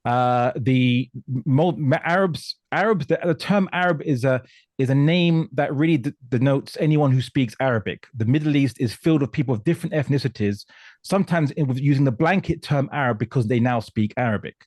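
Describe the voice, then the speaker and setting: Man, medium pitch, emphatic, stop-start phrasing with repeated words, explanatory discussion style, dry recording. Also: fairly quick, a little mellow, no echo. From Portugal. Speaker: guest commentator on religion and politics.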